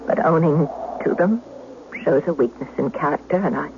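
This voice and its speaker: An actress playing an anxious, guilt-torn duchess in an old radio drama, speaking formally and haltingly. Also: female voice, sits low. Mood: anxious, guarded. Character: anxious, guilt-torn married duchess meeting a former lover. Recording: muffled, noisy old radio recording.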